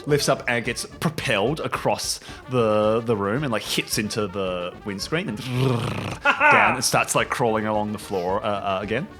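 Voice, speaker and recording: Animated man running a tabletop game. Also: lowish voice, a little slow, music in the background. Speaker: game master. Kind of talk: improvised comic narration of a tabletop game.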